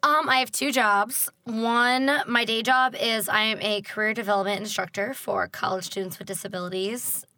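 A young woman in her twenties telling stories in a relaxed casual chat, laughing often. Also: on the high side, normal speed, hardly any pauses, no room sound. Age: 20s. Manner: easygoing storyteller, laughs often. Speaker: guest.

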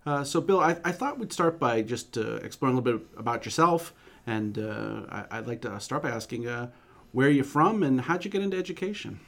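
A man's voice: mid-range voice, lively intonation, fairly quick, gravelly. Man, podcast host, superintendent, friendly questions, some uhs. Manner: friendly, hesitant questions with fillers. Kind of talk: podcast interview hosting.

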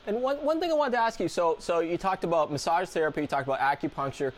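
A man's voice: a little high, lively intonation, quick pace. Enthusiastic male vet radio host. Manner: enthusiastic, chatty. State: has a cold. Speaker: veterinarian and radio show host. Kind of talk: radio interview hosting.

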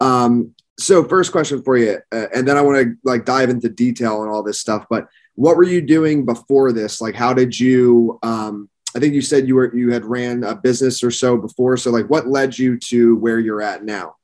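A man's voice: mid-range voice, moderate pace, darkish tone. Casual upbeat podcast host man. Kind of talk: podcast interview.

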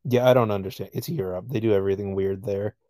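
A man with a lowish, mellow voice chats on a podcast. He is blunt and crude and swears casually. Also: fairly even intonation, fast, no echo, studio quality. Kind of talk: casual chat.

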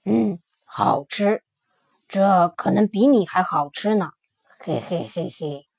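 A woman voicing a big, gruff male tyrannosaurus in a children's storybook, slow and low with a husky growl. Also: expressive, darkish tone, no echo, full character voice.

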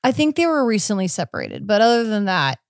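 A woman's voice: mid-range voice, huge pitch swings, fast, vocal fry, no echo, studio quality. A woman hosting a podcast, chatting casually as she recaps a case and explains it step by step. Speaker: podcast host.

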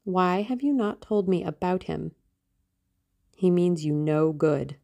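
A woman's voice: on the low side, expressive, slow, vocal fry, darkish tone, heavily acted. A woman voicing a morose, protective teenage brother in an audiobook, blunt and questioning. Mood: suspicious. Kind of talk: audiobook character acting.

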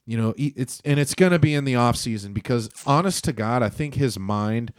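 A man's voice: medium pitch, brisk, dry recording, clean studio sound. A man co-hosting a sports podcast, talking bluntly and swearing often. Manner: blunt, profane, rambling. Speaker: podcast co-host. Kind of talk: casual sports chat.

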